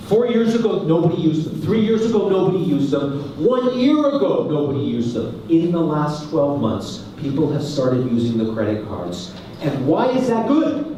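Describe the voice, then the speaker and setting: Man, from Canada, conference speaker, joking, mellow tone, very echoey hall, distant mic. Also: high voice, slight background noise. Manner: lively, joking, asks the audience questions. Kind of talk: conference talk to a live audience.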